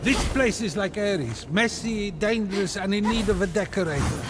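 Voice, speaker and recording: A man's voice: high voice, animated, normal speed, over music. An actor playing a petty, vain, flippant father god who complains breezily and sarcastically in comic video game banter. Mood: sarcastic.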